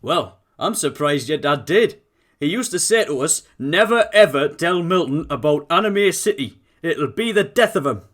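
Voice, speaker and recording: A man's voice: on the high side, lively intonation, normal speed, a bit bright, voice pushed up. A man voicing a cheerful grey-haired male biker chef, hearty and boastful. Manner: boastful, hearty, dialect-laden.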